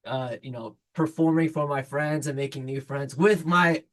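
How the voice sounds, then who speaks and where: A man in his late thirties who grew up in China talks earnestly and reflectively. His voice sits a bit high for a man, and he often stops to restart a thought. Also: moderate pace, fairly bright tone. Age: 30s. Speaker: band member. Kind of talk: casual interview chat.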